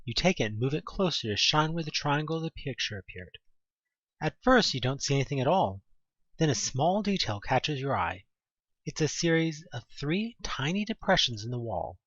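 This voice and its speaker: Husky, animated male horror narrator. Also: fairly high pitch, normal speed, no echo, highs cut off. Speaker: narrator of a fan audio drama. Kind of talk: dramatic second-person horror story narration.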